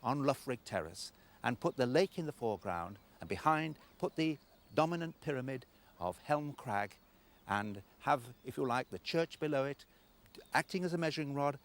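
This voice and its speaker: A man giving explanatory literary commentary as a presenter, discursive and emphatic, breaking phrases for weight. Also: mid-range voice. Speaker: documentary presenter, literary lecturer.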